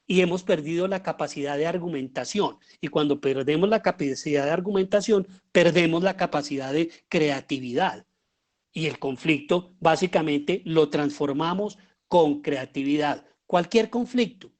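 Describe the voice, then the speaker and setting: A man with a Colombian accent giving an explanatory lecture as a teacher, often pausing and posing rhetorical questions. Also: on the high side. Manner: halting, with pauses and rhetorical questions. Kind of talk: explanatory lecture on writing audio fiction.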